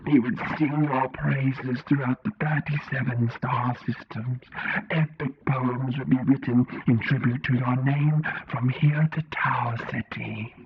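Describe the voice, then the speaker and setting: A man's voice: mid-range voice, fairly even intonation, a little hiss or hum. A man voicing a solemn, insistent tentacled alien leader in an audio story, speaking in formal pronouncements through an alien voice filter. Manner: slow, stately, formal pronouncements. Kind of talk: audio short story character. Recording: muffled lo-fi filtered sound, music behind.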